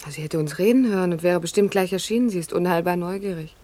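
An actress playing a young, forthright hotelier's daughter, casual and friendly in a radio crime drama. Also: female voice, fairly low pitch, fast talker, mellow, natural voice. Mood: matter-of-fact.

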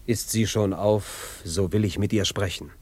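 A man's voice: fairly low pitch, steady tone, measured pace, darkish tone, slightly rough, no echo. An actor playing a haughty, cynical young nobleman in a classic radio drama, pompous and theatrical. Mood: cynical, musing.

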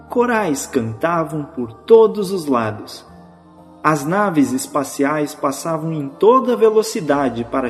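A man acting as the narrator of a whimsical audio drama, telling it as scripted storytelling. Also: on the high side. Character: storybook narrator setting a whimsical Christmas tale.

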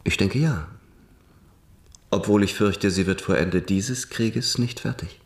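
An actor playing a measured, confident male physics professor in a wartime radio drama, speaking deliberately and formally. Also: on the low side. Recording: roomy, echoing sound.

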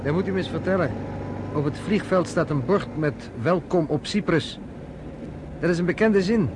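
An actor playing a sardonic, self-assured journalist in a Dutch radio drama, with a dry, conversational, probing manner. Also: male voice, a little high, moderate pace, noisy background, lightly acted. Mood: curious, polite.

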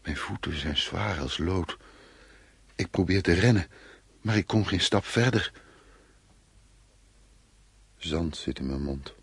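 An actor playing an anxious, irritable man fleeing war in a radio play, with a husky voice. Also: sits low, takes it slowly, long pauses, no echo, exaggerated voice. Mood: brooding, dreamlike. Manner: agitated, commanding, brooding when narrating. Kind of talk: Dutch radio play acting.

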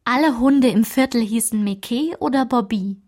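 A woman's voice: on the high side, normal speed, lightly acted. A woman reading scripted literary narration as the narrator of a radio play. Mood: measured. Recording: music behind the voice.

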